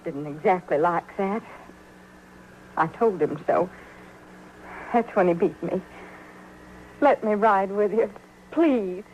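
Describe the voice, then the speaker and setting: An American woman playing a desperate but plucky runaway dance hall girl in an old-time radio western, with a halting, pleading, dramatic delivery. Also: medium pitch, expressive, darkish tone, muffled recording. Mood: pleading, bitter. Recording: slightly noisy old radio broadcast recording.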